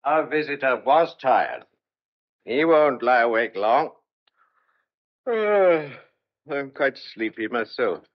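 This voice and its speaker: Opinionated country dad, middle pitch, lively intonation, teasing, audio drama, dull recording. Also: male voice, slow, long pauses. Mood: genial, grumbling. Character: older country father, opinionated, wry and teasing. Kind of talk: old-fashioned audio drama acting.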